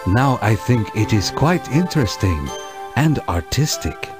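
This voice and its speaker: A man's voice: fairly low pitch, big pitch swings, music in the background. A man playing a kindly, dignified village elder in a children's game, speaking formally and patiently. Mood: gentle, solemn. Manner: measured, formal, ceremonious. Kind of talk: children's educational game voice acting.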